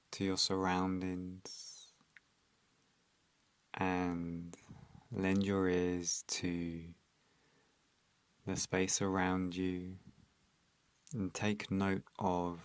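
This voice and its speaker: Male meditation guide: calm and soothing, extremely monotone delivery, very dry close recording. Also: low-pitched, clear, resonant tone, no echo. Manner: calm, soothing, instructional, with long pauses.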